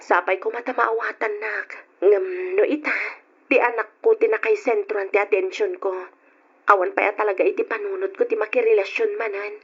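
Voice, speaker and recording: A woman playing a resolute young single mother in a radio drama, earnest and husky, with an Ilocano accent. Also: mid-range voice, steady tone, normal speed. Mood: gentle, firm. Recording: dull old radio drama recording.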